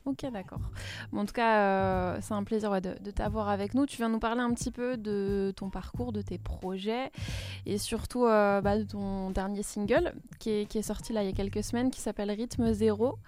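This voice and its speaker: A woman's voice: mid-range voice, fairly even intonation, normal speed, darkish tone. A woman hosting a radio show, upbeat and casual as she interviews a guest. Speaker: radio host.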